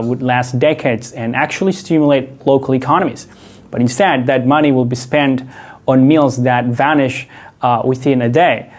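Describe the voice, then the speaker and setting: A man sets out a firm argument in a video commentary, his voice sitting at an ordinary middle pitch. He builds point by point, asks rhetorical questions and fills his pauses with a steady "uh.". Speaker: video creator and English tutor. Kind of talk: opinion commentary to camera.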